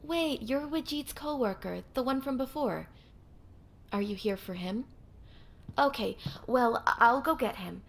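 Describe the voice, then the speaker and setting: A woman's voice: on the high side, lively intonation, measured pace, creaky, fairly bright tone, a little hiss or hum, exaggerated voice. A woman playing a flustered but polite gatekeeper in an audio roleplay, anxious and protesting.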